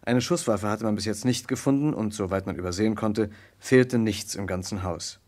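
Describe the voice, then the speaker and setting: An actor playing an earnest young American lawyer who narrates a murder case in a measured, precise way in a vintage German radio play. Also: male voice, fairly low pitch, a little mellow, natural voice. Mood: measured, grave.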